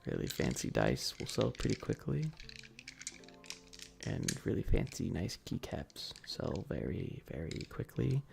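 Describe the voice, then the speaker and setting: Very creaky, soft-spoken ASMR streamer. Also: low-pitched, very animated, a little slow, over music. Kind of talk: ASMR livestream, casual chat with viewers. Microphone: close adjustable microphone.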